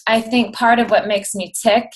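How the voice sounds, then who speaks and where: Guest speaker: late-thirties woman, voice going hoarse, rambling and excitable, higher-pitched. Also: normal speed. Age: 30s. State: losing her voice. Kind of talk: casual talk on a video call. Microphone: video call.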